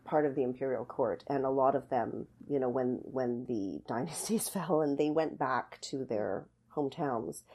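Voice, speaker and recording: A woman's voice: rumbling low, moderate pace, hardly any pauses, dark tone, a little hiss or hum. A middle-aged woman explaining things conversationally as an interview guest, thoughtful and searching for her words. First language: English. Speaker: podcast guest, dance academy artistic director.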